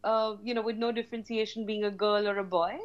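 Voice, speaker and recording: A woman's voice: mid-range voice, level tone, quick pace, dull audio. A woman in her forties from India, answering interview questions in a chatty way with frequent laughter. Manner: chatty, laughs often, many fillers. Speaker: guest, businesswoman. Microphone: phone line from India.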